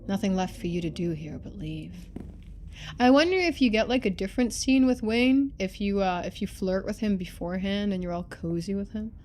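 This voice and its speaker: A woman's voice: medium pitch, normal speed, a little mellow, a little hiss or hum. A woman game streamer reading a visual novel aloud, switching into playful, chatty live commentary.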